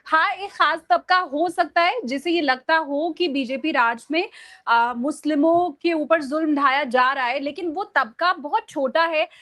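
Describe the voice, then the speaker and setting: High-pitched woman explaining emphatically. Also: a little slow, a bit bright. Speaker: journalist guest on a discussion show. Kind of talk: conversational political commentary.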